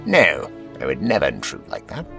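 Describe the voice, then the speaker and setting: A man playing a gentle, apologetic gentleman adventurer in an audio drama, with formal, old-fashioned courtesy. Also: extremely low pitch, huge pitch swings, moderate pace, vocal fry, soft background music, full character voice. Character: gentlemanly, apologetic time-travelling male adventurer.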